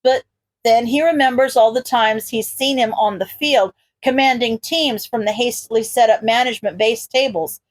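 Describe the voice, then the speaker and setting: A woman reads fiction as a narrator. Her voice is on the high side, and her delivery is expressive, scripted storytelling. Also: normal speed, rough recording. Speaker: podfic narrator.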